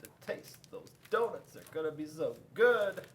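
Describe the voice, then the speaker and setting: A man voicing a greedy, excitable, easily perplexed skunk in a storybook, with emphatic drawn-out exclamations. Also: a little high, a little hiss or hum. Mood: eager.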